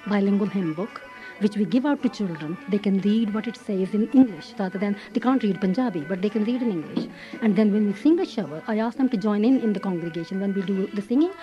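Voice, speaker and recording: A woman's voice: mid-range voice, mellow, muffled recording. A mature woman, a choir leader, explaining her group in an interview. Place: at home.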